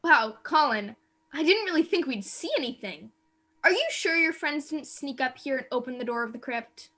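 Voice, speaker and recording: A woman's voice: high voice, lively intonation, normal speed, sharp tone. A woman playing a nervous teenage girl. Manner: hesitant, nervous. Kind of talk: amateur radio play acting.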